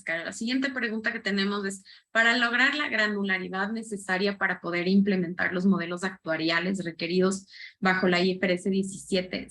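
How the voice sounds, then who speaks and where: A woman's voice: medium pitch, a bit bright. A woman moderating a webinar, reading audience questions aloud with many hesitant fillers.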